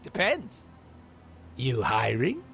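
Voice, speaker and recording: A man's voice: mid-range voice, wildly swooping pitch, slow pace, vocal fry, a bit bright, a little hiss or hum, full character voice. A man voicing a flippant, irreverent mercenary superhero in a video game, rambling theatrically and breaking the fourth wall. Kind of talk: video game cutscene acting.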